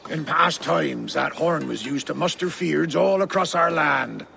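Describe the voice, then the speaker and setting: Solemn medieval elder statesman, heavily gravelled, slow, formal, game dialogue. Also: on the high side, bright, forceful tone, slight background noise, heavily acted. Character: solemn medieval man recalling old war customs.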